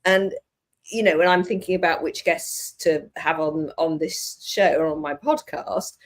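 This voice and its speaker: Podcast host gushing and rambling, um and you know everywhere, middle pitch. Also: measured pace. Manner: enthusiastic, rambling, hedging with fillers. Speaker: podcast and live show host. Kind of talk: casual interview talk.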